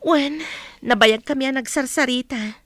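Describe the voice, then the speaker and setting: A young woman with a high voice and a Filipino accent. She is wistful and emotive, speaking conversationally as in a radio drama. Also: normal speed, a bit bright, dry recording, soft background music. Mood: downcast, unsure. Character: Margie, wistful young woman waiting on a long-time boyfriend.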